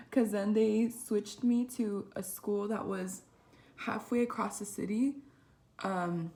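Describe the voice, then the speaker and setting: A woman's voice: medium pitch, gravelly, darkish tone, slight background noise. A young woman from Southern California whose first language is Spanish, chatting casually in a vlog with lots of fillers and self-corrections, in a dorm room. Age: young adult. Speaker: vlogger. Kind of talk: casual chat.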